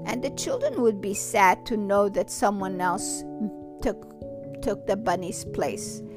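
A woman voicing a gentle, kindly man who speaks to a child in a hushed, patient way. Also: on the high side, lively intonation, takes it slowly, gravelly, over music, heavily acted. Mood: confiding.

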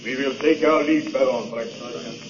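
A man's voice: medium pitch, steady tone, moderate pace, far from the mic, lightly acted. A stern, formal village leader, played by a man in an old radio play, heard from well back from the microphone. The sound is dull and muffled, with faint hiss. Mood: commanding. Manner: formal, commanding. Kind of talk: 1940s radio acting.

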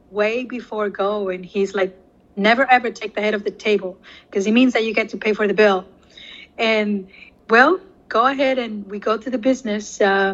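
A woman's voice: mid-range voice, a bit bright, lo-fi sound. A woman from Latin America, a native Spanish speaker, talking conversationally and telling anecdotes as an interview guest. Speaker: interview guest, corporate security professional.

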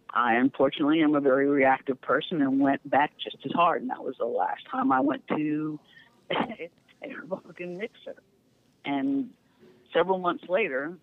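A middle-aged woman, a podcast guest, telling candid stories in a frank, lively way with easy laughter and a neutral accent. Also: low voice, dry recording. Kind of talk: candid storytelling. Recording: tinny phone-line sound, dry.

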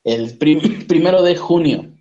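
A man's voice: on the high side, lively intonation, a little slow, muffled recording, crowd noise. A man, chatting casually, asking questions and joking lightly. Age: 30s. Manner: conversational, asks questions, jokes lightly. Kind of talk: casual chat.

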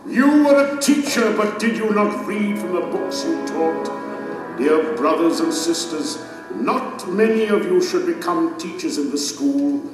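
A man's voice: high voice, expressive, unhurried. Stern, echoing king passing judgment. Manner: grave, declamatory. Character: majestic king and judge, stern and righteous. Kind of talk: solemn audio drama acting. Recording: cavernous echo, distant, music underneath.

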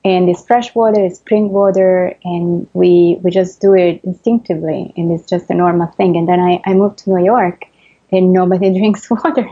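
A woman with an Italian accent, a neuroscientist answering interview questions in a measured, explanatory way. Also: lowish voice, fairly even intonation, dark tone, slightly rough, lo-fi sound. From Italy. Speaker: neuroscientist guest.

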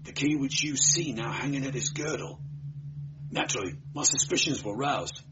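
A man's voice: medium pitch, lively intonation, moderate pace, pitched above the performer's own voice, natural voice. A man voicing an anxious, dutiful night watchman who explains earnestly in an audiobook story. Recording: dull, noisy amateur recording.

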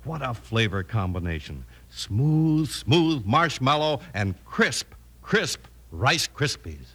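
An actor playing a jovial cowboy sidekick selling with folksy, emphatic gushing in an old radio commercial. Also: male voice, medium pitch, very animated, slow, fairly bright tone, breathy edge, full character voice. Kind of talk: 1950s radio commercial acting.

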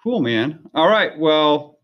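Male host for a casual language interview, curious and friendly, voice a bit high, dull lo-fi sound. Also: sing-song, slow pace. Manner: friendly, curious, many fillers. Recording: dull lo-fi recording.

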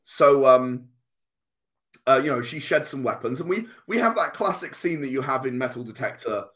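A man speaking as an interview guest, explaining and storytelling conversationally, halting and repeating words as he goes. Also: medium pitch, animated, a bit bright. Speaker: podcast guest, comic writer.

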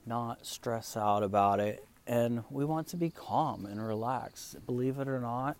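A man whose first language is Spanish, coaching English learners in a calm, relaxed way with many fillers. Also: medium pitch, unhurried. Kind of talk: relaxed teaching monologue.

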